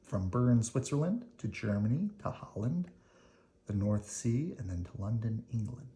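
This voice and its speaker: A person reading a children's picture book aloud expressively, with dramatic emphasis, pauses and brief explanatory asides. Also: mid-range voice, sing-song, warm tone, slight background noise. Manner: dramatic emphasis, pausing between phrases.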